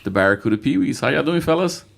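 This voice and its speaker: A man's voice: fairly high pitch, lively intonation, fast talker, gravelly, a crowd in the background. A man hosting a casual sports podcast as a football coach, upbeat, with many "uh" fillers and repeated catchphrases. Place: indoor room with children present.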